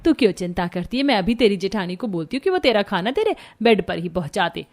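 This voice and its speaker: A woman's voice: mid-range voice, sing-song, fast. A woman acting a fawning older mother-in-law. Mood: gushing, doting. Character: older mother-in-law, doting on the rich daughter-in-law. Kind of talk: Hindi cartoon family story acting.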